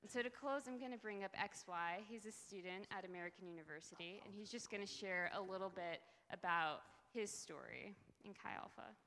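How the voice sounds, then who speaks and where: A woman's voice: mid-range voice, a little fast, hardly any pauses, pushed and bright. A woman who works in a campus ministry, earnestly telling a story in a speech that introduces the next speaker. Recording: long hall reverb.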